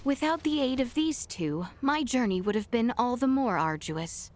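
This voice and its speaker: A woman acts as a young princess in a fantasy video game. Her voice sits fairly high, and she speaks with stately, measured diction, poised and determined. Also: lively intonation, lightly acted. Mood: composed, firm. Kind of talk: scripted video game acting.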